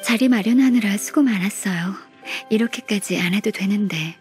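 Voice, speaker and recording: Actress as female senior secretary, gentle mentor, modest, soft-spoken, measured, medium pitch, video game dialogue, faint music. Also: close to an everyday voice. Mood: kind.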